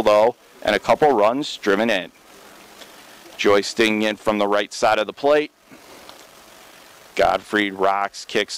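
A young man calling radio play-by-play for a college baseball game, steady and measured, jumping up in pitch on big plays. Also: clean tone, poor audio.

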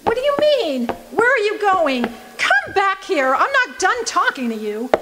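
A woman as a high, theatrical gold-rush singer. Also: sing-song, unhurried, heavy background noise, full character voice. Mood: indignant. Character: feisty, bewildered gold-rush singer and condemned husband-killer. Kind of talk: old-time radio drama acting. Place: live performance at a community venue.

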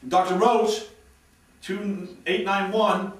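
A man's voice: high voice, little pitch movement, takes it slowly. A middle-aged man directing actors in rehearsal, giving emphatic instructions. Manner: emphatic, acts out lines while instructing. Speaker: radio drama director. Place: room in a large house. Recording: echoey room, distant mic, background noise.

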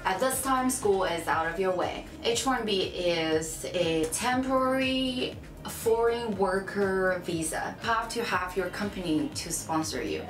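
A woman's voice: mid-range voice, expressive, a little slow. A young woman from China, speaking English as a second language, answering interview questions in a frank, chatty way at a table. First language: Chinese. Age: young adult. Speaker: interviewee, UX designer. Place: bright room, seated at a table. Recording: echoey room with music under.

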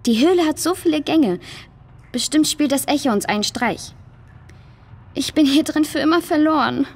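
A female performer playing a troubled, downhearted girl in a children's audio drama, with a high, husky voice. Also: expressive, moderate pace, a little mellow. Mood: worried, doubtful. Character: troubled, downhearted foster girl lost in a cave.

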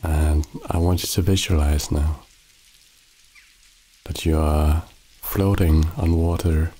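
A man with a very low, mellow voice leads a sleep meditation, speaking slowly and softly with a flat, even delivery. Rain patters steadily behind him. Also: husky. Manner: slow, soothing, calm instructions. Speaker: meditation guide. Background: rain sounds.

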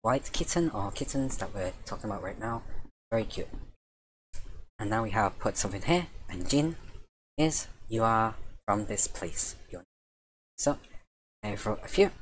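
A man's voice: on the low side, animated, normal speed, long pauses, slight background noise. Man with a dry, tired voice. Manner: easygoing, thinks aloud and corrects himself. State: dry, tiring voice. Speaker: Japanese language teacher. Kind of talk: casual screen-share vocabulary lesson.

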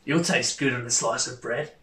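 A man's voice: mid-range voice, moderate pace, sharp tone, echoey room, voice pushed down, close to an everyday voice. A man voicing a big, hungry, gullible monster in a storybook, blunt and easily amused. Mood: hungry, wary.

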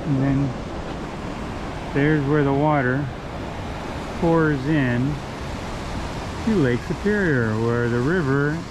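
Slow-talking man by a rushing river. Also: on the high side. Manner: relaxed, enthusiastic, rambling with restarts. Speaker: photographer vlogger. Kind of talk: casual travel narration. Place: outdoors by a river and waterfalls. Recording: outdoor noise of rushing water. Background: rushing water.

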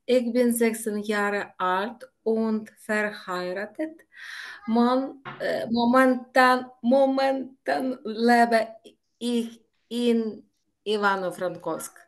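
A Ukrainian woman in her sixties, a language student, introducing herself slowly and hesitantly. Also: a little high. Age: 60s. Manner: slow, hesitant learner.

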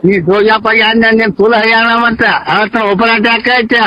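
Casting: very high-pitched North Karnataka man, argumentative, stammering repeats, dry and dull lo-fi call sound. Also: flat delivery, a little fast, bright, forceful tone, slight background noise. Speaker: prank call participant. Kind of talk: heated phone argument in village dialect. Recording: dull lo-fi phone call recording.

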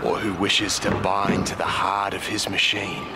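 An actor playing a sly wasteland race master in a video game, with florid, preacher-like theatrical oratory. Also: male voice, deep voice, monotone, unhurried, heavy background noise. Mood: grand, ceremonial. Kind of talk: theatrical game character acting.

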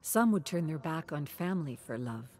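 A woman voicing an earnest female storyteller who addresses her audience in a theatrical, probing, deliberate way. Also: deep voice, animated, a little mellow. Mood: intense, probing.

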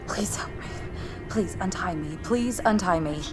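A woman's voice: lowish voice, a little slow, music in the background, natural voice. An actress playing a beaten, terrified female hostage pleading and stammering. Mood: desperate.

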